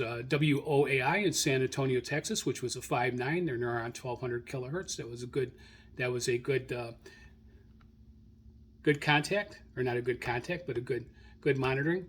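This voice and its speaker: A man with a medium-pitched voice talks informally as the host of a hobby show. He works through a list without a script, pausing to find his place. His delivery is halting, and he often backs up to correct himself. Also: fairly bright tone. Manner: halting, self-correcting, searching through notes. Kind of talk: informal spoken log rundown, unscripted.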